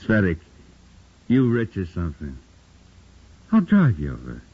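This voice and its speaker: Menacing party heavy, fifties radio drama: blunt, animated pitch, dull old recording. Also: male voice, a little slow, husky, heavily acted. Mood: insistent. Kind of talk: 1950s radio drama acting.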